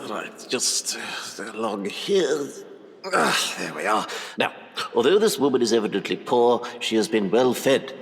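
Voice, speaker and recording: An actor playing a pompous, condescending Edwardian surgeon in a period audio drama, with a lecturing manner. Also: male voice, medium pitch, expressive, measured pace. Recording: echoey reverberant hall sound.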